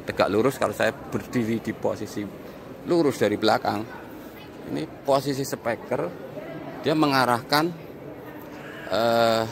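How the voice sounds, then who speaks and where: A low-voiced man talks through an improvised walk-through review. His delivery is halting and explanatory, with repeated phrases and a gravelly fry in the voice. The location recording has clear ambient noise behind him. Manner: halting, explanatory, counting and pointing things out, repeats himself. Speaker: audio reviewer and vlog host. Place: inside a large mosque, walking around.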